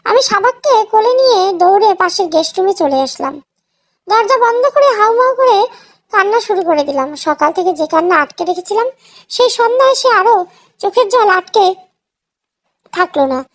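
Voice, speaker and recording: Woman reading a sad dramatic audio story, super high pitched voice. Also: lively intonation, moderate pace. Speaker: story narrator. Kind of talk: scripted first-person emotional story narration.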